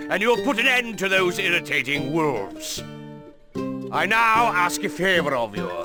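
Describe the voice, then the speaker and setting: An actor playing a stern, vengeful military commander who gives formal mission briefings in a medieval game. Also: male voice, fairly high pitch. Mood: formal, confiding.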